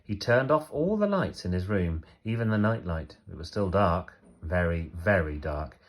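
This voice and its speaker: A man reading a children's story aloud like a teacher, expressively, with emphatic phrasing and pauses for effect. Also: low-pitched, animated.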